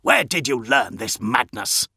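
A man performs a hulking armoured captain of a zealous warrior order, in an audiobook style. He growls and snarls with stern, commanding fury, his rough voice swinging widely in pitch over a very dry recording. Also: lively intonation, moderate pace, bright, forceful tone, no echo, exaggerated voice. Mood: furious.

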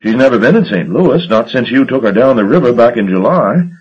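A man's voice: medium pitch, expressive, fairly quick, slightly rough, voice pushed up. A man voicing a flustered, gaunt young shipping agent who stammers and babbles. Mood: nervous babbling. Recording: dull lo-fi recording.

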